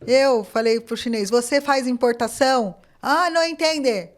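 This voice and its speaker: Woman, lively podcast guest, slightly high voice, storyteller who acts out dialogue. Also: moderate pace. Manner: animated, acts out quoted dialogue, many fillers. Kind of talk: casual interview storytelling.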